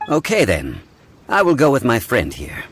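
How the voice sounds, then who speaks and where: An actor voicing a calm, learned gentleman in a video game, speaking in a measured, formal, explanatory way. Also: medium pitch, a little hiss or hum. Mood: composed, polite.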